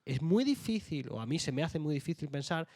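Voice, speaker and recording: A man's voice: fairly high pitch, expressive, fairly quick, darkish tone, no echo. A young man from Jaén with an Andalusian accent, speaking as an author on a panel, hesitant with many fillers and restarts. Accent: Andalusian Spanish. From Jaén, Spain. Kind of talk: round-table discussion.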